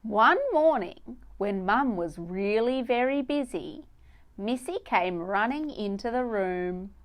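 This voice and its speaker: A woman's voice: medium pitch, animated, slow. A woman narrating a children's storybook as a read-aloud storyteller.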